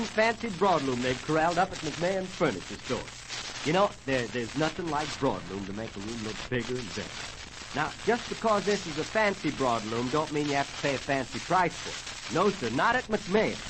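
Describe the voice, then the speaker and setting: A man's voice: a little high, big pitch swings, moderate pace. A man with an American accent announcing an old-time radio show in folksy, theatrical cowboy slang. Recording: noisy old radio recording.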